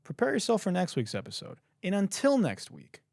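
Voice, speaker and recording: Young male podcast host, incredulous comic ranter, slightly hoarse, very expressive, dead-dry sound. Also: sits high, fairly quick, no echo. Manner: profane, laughing, incredulous ranting. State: hoarse, says he has lost his voice. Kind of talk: casual comedic commentary.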